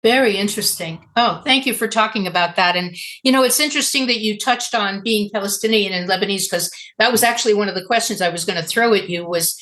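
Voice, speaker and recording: A woman's voice: medium pitch, quick pace, few pauses, a bit bright, low-quality recording. A woman moderating a panel, asking questions in a conversational interviewing style.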